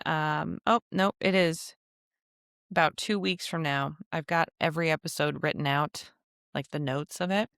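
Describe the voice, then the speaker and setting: Woman podcast host, lowish smooth voice, dry close mic, chatty with joking asides. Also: fairly bright tone, no room sound. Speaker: podcast host and travel agency owner. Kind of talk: casual solo podcast monologue.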